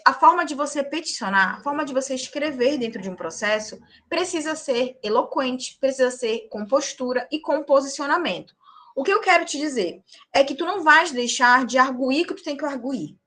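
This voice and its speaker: A young woman teaching law in a high, bright voice. She speaks emphatically, pausing often to check that the class is following, and her tone is lively and clear. It is the voice of an instructor who also practices in court. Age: young adult. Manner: emphatic, tags points with check-in questions. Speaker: law lecturer and practicing lawyer. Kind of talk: lecture to a class.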